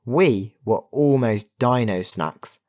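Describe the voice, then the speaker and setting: Man putting on a cheeky, high-pitched young girl. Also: takes it slowly, a little mellow, natural voice. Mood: wry. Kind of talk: children's audiobook character.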